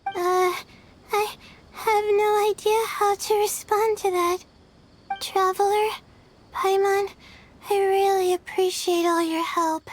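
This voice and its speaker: A timid young student from a video game. The voice is very high and girlish, hesitant, polite and a little weary. Faint ambience sits behind it. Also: little pitch movement, takes it slowly, a little hiss or hum. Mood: flustered, grateful.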